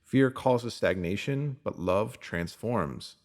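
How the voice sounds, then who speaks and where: Low, creaky-voiced man reading self-help. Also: expressive, takes it slowly. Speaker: narrator. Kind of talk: scripted reading of a self-help spiritual post.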